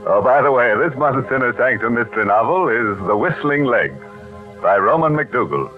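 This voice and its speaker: A male horror host from old-time radio with a low, unhurried voice. He is sardonic and mock-genial, savoring macabre puns. The tinny vintage recording has music clearly behind it. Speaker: host of a radio mystery show. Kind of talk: 1940s radio horror hosting, scripted.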